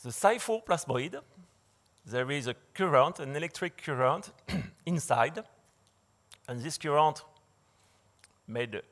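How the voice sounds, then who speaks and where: Slow, halting man giving a lecture. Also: a little high, expressive, fairly bright tone. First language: not English. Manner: hesitant, many fillers and restarts. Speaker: conference speaker. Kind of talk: slide lecture. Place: large dark lecture hall.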